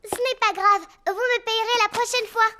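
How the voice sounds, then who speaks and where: A woman's voice: extremely high pitch, fairly even intonation, moderate pace, pushed and bright. A woman voicing a cheerful, bossy little girl pig in a French cartoon dub, chirpy and childlike. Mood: playful.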